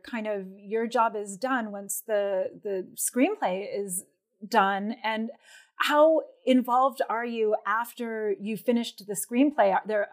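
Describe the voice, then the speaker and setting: Woman, American accent, slightly high voice, conversational interviewer.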